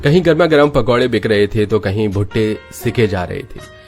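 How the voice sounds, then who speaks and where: A man's voice: fairly low pitch, expressive, normal speed. Male story narrator over music. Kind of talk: scripted dramatic Hindi story narration.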